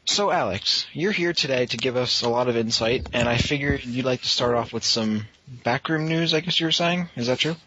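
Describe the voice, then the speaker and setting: A man's voice: mid-range voice, brisk, pushed and bright, a little hiss or hum. Man hosting a podcast, slightly dull audio. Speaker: podcast host. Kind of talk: casual podcast chat.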